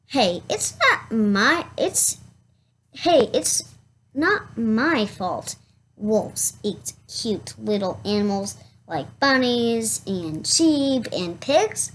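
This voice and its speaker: A woman voicing a chatty, defensive male wolf from a children's storybook, with halting, protesting delivery. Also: a little high, sing-song, a little hiss or hum, full character voice. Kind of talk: children's storybook read-aloud in first person.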